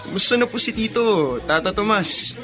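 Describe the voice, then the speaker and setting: A man's voice: high-pitched, lively intonation, normal speed. An actor playing a polite, cheerful young working man in a Filipino radio drama, respectful and upbeat. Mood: respectful, friendly. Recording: music under the voice.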